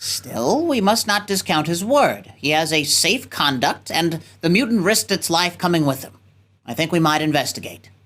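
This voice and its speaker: A man playing a measured, formal ship's captain who gives orders in a radio play reading. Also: on the high side, big pitch swings, a bit bright. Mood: deliberating. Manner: formal, authoritative. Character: measured, authoritative ship's captain weighing a risky mission. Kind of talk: radio play cold read.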